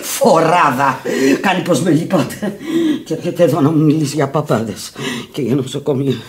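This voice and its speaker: A sick, desperate fallen woman in a radio play, acted by an actress, with a low, theatrical voice. She is bitter and volatile, halting over repeated words. The old broadcast recording has some background noise. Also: natural voice. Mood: scornful. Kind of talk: radio drama acting. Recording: noisy archival radio recording.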